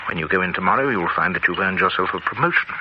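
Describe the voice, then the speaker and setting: A man's voice: low-pitched, lively intonation. A clipped businessman. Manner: brisk, clipped British talk, hearty asides. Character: confident English businessman, genial boss. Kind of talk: television drama acting. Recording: muffled old TV audio with hiss.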